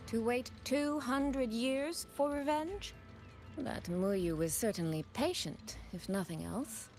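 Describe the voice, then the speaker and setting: A woman acting a cool, dryly observant character in a video game, speaking in a deliberate, wry way. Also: a little high, animated, darkish tone, soft background music. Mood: wry doubt.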